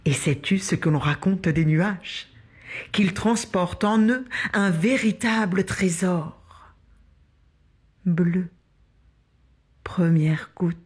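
A woman tells a children's story very slowly in a low, breathy voice. She keeps it hushed and close, and she speaks straight to the child who is listening. Her words are soft and drawn out. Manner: hushed, intimate, addresses the child listener directly.

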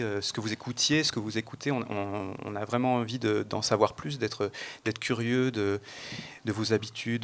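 A man hosting an unscripted radio round table, halting and often repeating his words as he goes. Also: fairly low pitch. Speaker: panel host.

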